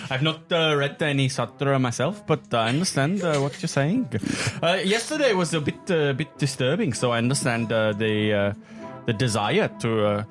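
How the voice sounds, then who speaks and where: A man playing a mild, polite, slightly anxious character with halting phrases in improvised tabletop role-play. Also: mid-range voice, music in the background, lightly acted.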